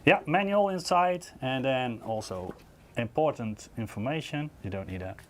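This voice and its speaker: A man's voice: medium pitch, animated, normal speed. A man in his forties co-hosting a livestream, mostly giving short agreeing replies. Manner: brief agreeing replies, chuckles often. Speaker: co-host. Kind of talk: casual livestream chat.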